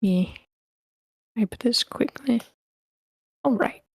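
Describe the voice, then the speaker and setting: A woman's voice: on the high side, slow pace, slightly rough, dry recording, clean studio sound. A woman hosting an online script table read, chatting casually in an informal, playful way. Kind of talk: casual chat, moderating an online meeting. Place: online voice meeting, dry close sound.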